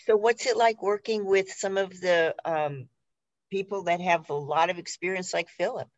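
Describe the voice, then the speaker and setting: A woman's voice: lowish voice, moderate pace, no room sound, highs cut off. A woman hosting a conversational interview in a polite, effusive way, heard over a video call. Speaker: host interviewing a theater cast.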